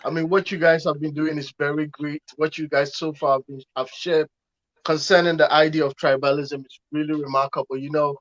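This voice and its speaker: A man's voice: fairly high pitch, level tone, brisk, a bit bright. A man from Ghana, a pastor speaking as a guest on an online panel, talking earnestly in a preaching manner and often repeating words as he starts phrases. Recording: muffled lo-fi call audio.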